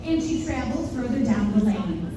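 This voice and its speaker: A woman's voice: a little high, big pitch swings, fairly quick. A woman narrating a live children's storybook puppet show. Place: indoor live puppet performance. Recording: very echoey, noisy room, distant mic.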